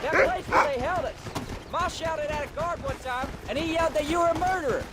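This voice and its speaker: A rancher's teenage son in a Western game. He sounds earnest, moody and eager, with a rural American accent and a very high voice, under very loud horse-ride noise. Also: fairly quick. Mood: probing, grave. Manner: questioning, earnest, youthful. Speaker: game character actor. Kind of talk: dramatic video game dialogue. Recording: very loud outdoor horse-ride ambience over dialogue.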